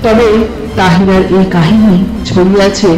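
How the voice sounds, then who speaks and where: A man narrating a scripted suspense story in a dramatic, measured way, with deliberate pauses. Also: high-pitched, fairly even intonation. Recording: roomy echo effect with background music. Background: music.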